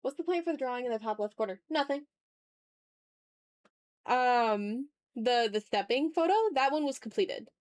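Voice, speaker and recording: A woman's voice: a little high, moderate pace, long pauses, darkish tone, no room sound. A woman chatting casually on an art livestream while drawing, talking to her viewers in an informal, joking, mildly sweary way. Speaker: livestream host, illustrator.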